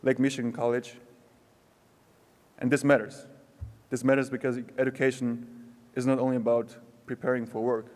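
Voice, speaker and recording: Young male student speaker, measured and earnest, with ceremony-hall echo. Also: medium pitch, level tone, a little mellow. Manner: slow, measured, reflective delivery with pauses. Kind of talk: prepared commencement speech. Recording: long hall reverb.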